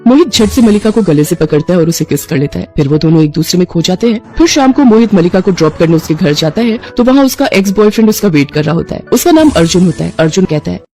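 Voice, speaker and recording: Woman, story narrator, scripted, quick, mellow, medium pitch, background music. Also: animated. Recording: music behind the voice.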